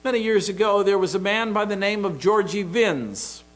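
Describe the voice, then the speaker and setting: A middle-aged American man preaching a sermon from a church pulpit into a pulpit microphone, emphatic and deliberate. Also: high-pitched. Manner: emphatic, deliberate preaching with open hand gestures. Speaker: pastor.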